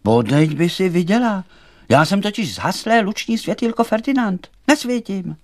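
Little glowing storybook sprite, male reader, excitable and grateful, pitched up, bubbly chatter. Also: dry recording, clean studio sound. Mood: plaintive. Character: small excitable male meadow firefly light, grateful and merry.

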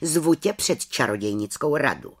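A woman's voice: very deep voice, expressive, slightly rough, exaggerated voice. A woman voicing a spiteful, prim witch aunt in a storybook, speaking in a pointed, accusing and officious way.

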